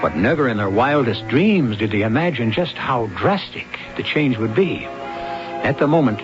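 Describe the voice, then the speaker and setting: Sardonic American host of a macabre radio series, played by a man: low, deliberate, dull vintage sound under heavy music. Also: lively intonation, close to an everyday voice. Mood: ominous. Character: sardonic male host narrating macabre tales. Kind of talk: scripted old-time radio suspense narration. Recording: dull old radio broadcast, loud music behind.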